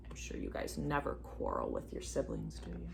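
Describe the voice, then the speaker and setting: A woman reading a storybook aloud expressively to children, pausing for asides and questions to her young listeners. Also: very deep voice, vocal fry, slight background noise. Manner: animated, addresses children with questions. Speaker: children's read-aloud reader.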